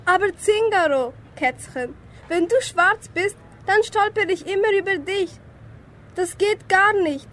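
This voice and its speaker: A teenage girl playing a grumpy old witch who dotes on her cat in a school radio play, declaiming slowly. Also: very high voice, fairly bright tone. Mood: fretting, coaxing.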